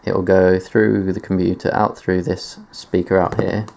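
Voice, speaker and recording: A man's voice: low voice, little pitch movement, moderate pace, gravelly, darkish tone. A man giving a casual tech demonstration in a matter-of-fact, explanatory way, presenting gear on video indoors. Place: indoors at a desk.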